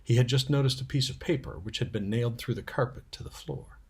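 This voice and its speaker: A man's voice: medium pitch, quick pace, darkish tone, husky. A man narrating a storybook expressively as a storyteller.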